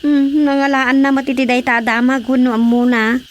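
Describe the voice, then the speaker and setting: A woman playing an excitable, questioning villager in a radio comedy drama, with an Ilocano Filipino accent. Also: sits high, moderate pace, slight background noise, natural voice. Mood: incredulous.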